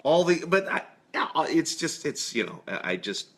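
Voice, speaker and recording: A man's voice: on the high side, big pitch swings, normal speed, a bit bright. A man, a musician guest on a radio show, chatting casually and giving his opinions informally.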